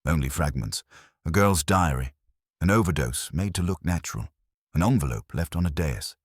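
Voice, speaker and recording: A man voicing a quiet, unhurried police inspector in a detective audio drama, measured and softly spoken. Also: a bass voice, animated, breathy edge, dry recording, clean studio sound, exaggerated voice. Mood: calm, relentless.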